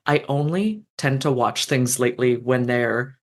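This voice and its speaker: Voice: mid-range voice, unhurried. A podcast guest, a fan-fiction author, talking casually and thoughtfully, with many restarts and "um"s. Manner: thoughtful, rambling with restarts and fillers.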